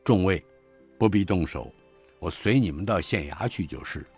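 A man doing a deep, courteous, composed officer. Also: husky, faint music behind, full character voice. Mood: calm. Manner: deep, polite, measured, calm.

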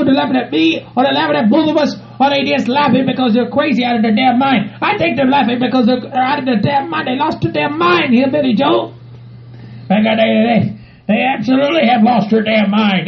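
A man's voice: very high voice, quick pace, gravelly, a crowd in the background. A man playing an agitated, exasperated hillbilly with a Southern US accent, ranting repetitively in a comedy skit. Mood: bewildered. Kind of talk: improvised comedy skit in character voices. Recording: muffled lo-fi recording with faint music.

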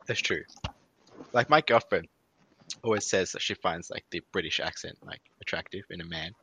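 A man's voice: low voice, moderate pace, sharp tone, slightly rough, poor audio. A young Australian man with an Australian accent, co-hosting a podcast in a laid-back casual chat and laughing easily. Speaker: podcast co-host. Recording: faint background hiss.

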